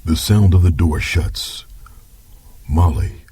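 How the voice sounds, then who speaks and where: A man with a husky voice narrating a scripted story in a dramatic style. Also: deep voice, slow, warm tone.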